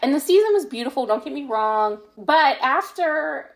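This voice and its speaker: A woman's voice: high-pitched, lively intonation, moderate pace, gravelly. A woman being interviewed as an author in a casual podcast chat, talking with gushing, emphatic enthusiasm. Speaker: interviewed fan fiction author.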